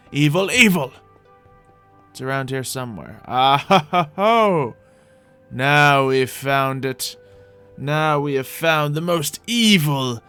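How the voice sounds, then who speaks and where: A man's voice: fairly high pitch, pushed and bright. A man hosting a gaming roleplay video, giving playful, theatrical commentary as he talks to himself and his viewers. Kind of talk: in-character gameplay commentary. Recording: music behind the voice. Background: music.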